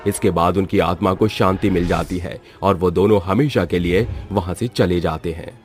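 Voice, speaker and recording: A man's voice: deep voice, fairly even intonation, moderate pace, faint music behind. A man narrating a scripted horror story.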